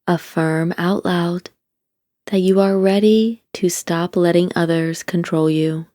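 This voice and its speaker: Female guided-meditation narrator, lowish relaxed voice, calm deliberate delivery, very dry close recording. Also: dry recording. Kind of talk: scripted guided meditation, slow gentle instruction.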